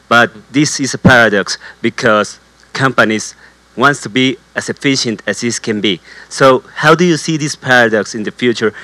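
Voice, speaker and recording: A man's voice: medium pitch, fairly bright tone, dry recording, poor audio. Colombian-accented male reporter, dry sound. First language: Spanish. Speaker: newspaper reporter asking a question. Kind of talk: press conference question. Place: indoor press conference floor.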